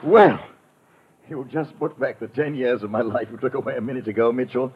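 An actor playing a genial, bemused British gentleman sidekick in a 1950s radio adventure, polite and given to old-fashioned understatement. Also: medium pitch, fairly quick, husky. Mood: relieved, wry. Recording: muffled, noisy old radio recording.

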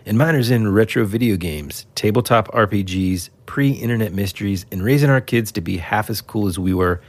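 A man's voice: fairly low pitch, moderate pace. A man co-hosting a podcast in a casual chat. He sounds nasal and stuffed up as he gets over an illness, rambling in a laid-back way. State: congested, recovering from illness. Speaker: podcast co-host.

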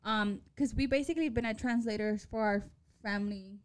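A woman's voice: fairly high pitch, a little fast, clear, resonant tone. A woman co-hosting a casual chat, playful and swearing, switching between English and Spanish. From Mexican-American family. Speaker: podcast co-host.

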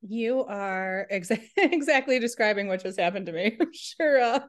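A woman's voice: medium pitch, lively intonation, moderate pace. A woman hosting a podcast, chatty and laughing easily as she tells stories. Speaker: podcast host. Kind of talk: casual podcast chat.

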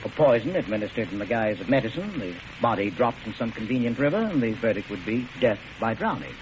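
An actor playing a polite, coolly murderous doctor obsessed with research, speaking with genteel precision in an old radio drama. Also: male voice, lowish voice, big pitch swings, fast talker. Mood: coolly sinister. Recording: muffled, noisy old radio recording.

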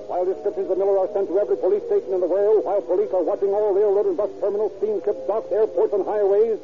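A man narrating a 1930s radio crime drama in a brisk, dramatic announcing manner. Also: high-pitched, lightly acted. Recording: tinny old radio broadcast under loud music.

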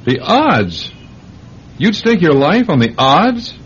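An actor playing an alarmed, principled doctor in an old-time radio drama, stammering and admonishing in disbelief. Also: male voice, fairly high pitch, big pitch swings, slow. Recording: dull lo-fi radio recording with hiss.

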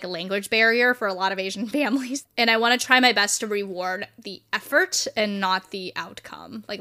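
A woman's voice: medium pitch, sharp tone. A young woman chatting casually as a podcast co-host, playful and outspoken. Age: young adult. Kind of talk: casual chat.

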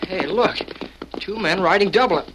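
A male actor playing an eager, excitable boy sidekick in an old radio Western. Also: high-pitched, normal speed, heavy background noise, lightly acted. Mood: excited. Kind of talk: 1940s radio Western acting.

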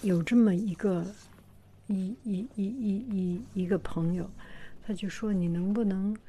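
A woman hosting her own channel, talking gently to her viewers in a soft whisper, hesitant and full of pauses. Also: medium pitch, slightly rough. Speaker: channel host. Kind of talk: whispered vlog talk to viewers.

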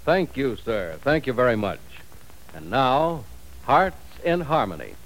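Lively formal vintage radio announcer. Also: male voice, mid-range voice, measured pace, heavy background noise. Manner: formal, dramatic. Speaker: announcer and narrator.

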